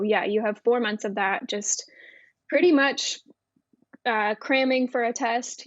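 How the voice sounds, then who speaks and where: A woman's voice: mid-range voice, no room sound, highs cut off. A young American woman giving a casual, conversational explanation from her own experience as an interview guest. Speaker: interview guest, former Navy air traffic controller.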